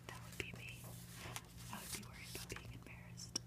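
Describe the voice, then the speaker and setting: Whispering woman reading a story, ASMR. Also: fairly bright tone. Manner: whispering, slow, deliberate delivery with occasional asides. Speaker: ASMR reader.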